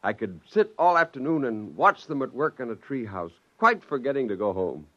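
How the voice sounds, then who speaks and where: An actor playing an excitable scientist father who narrates and acts in an old radio drama. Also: medium pitch, moderate pace. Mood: gleeful narrating. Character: excitable, gleeful scientist father narrating his secret creation. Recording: dull lo-fi old radio, music underneath.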